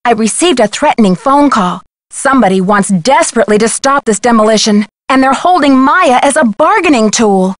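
An earnest young woman detective from a video game, played by an actress. Her voice is fairly high and articulate, worried yet determined, in a very dry recording. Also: lively intonation, brisk, no room sound, natural voice. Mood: shaken, urgent. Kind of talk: video game voice acting, phone dialogue.

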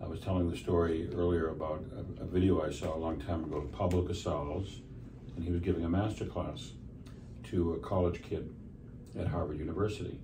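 An older man interviewing a musician in casual conversation, relaxed and rambling with fillers. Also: deep voice, fairly quick. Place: small room with a framed map on the wall. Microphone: stand mic, filmed by a far camera. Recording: roomy, distant, noisy.

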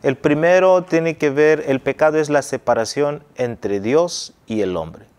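A middle-aged man from Angola, a pastor hosting a Bible study review in a TV studio, teaching in a measured way on a lapel mic. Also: a little high, a little mellow, clear, resonant tone. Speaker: pastor and host.

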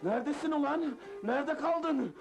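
High-pitched, hot-tempered club boss. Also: male voice, fairly quick, gravelly, music in the background, low-quality recording. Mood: furious. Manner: ranting, groaning, melodramatic. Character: hot-tempered nervous male club boss, bullying and self-pitying. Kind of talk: Turkish comedy film acting.

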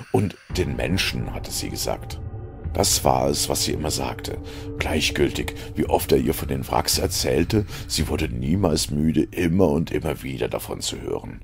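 A man narrating a science fiction audio story in a brooding, deliberate way. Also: a bass voice, gravelly. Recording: music under the voice. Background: music.

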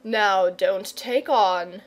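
A woman voicing a kindly, gentlemanly male saint and knight in a children's story, measured, reassuring and firm. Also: medium pitch.

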